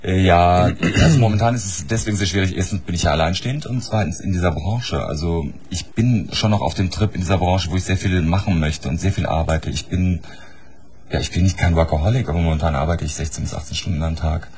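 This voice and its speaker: A man's voice: low voice, quick pace, darkish tone, slight background noise. A man in his thirties who grew up in Norway, a studio guest talking frankly and articulately about his life. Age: 30s. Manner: frank, articulate, self-assured. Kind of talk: candid interview talk. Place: radio studio.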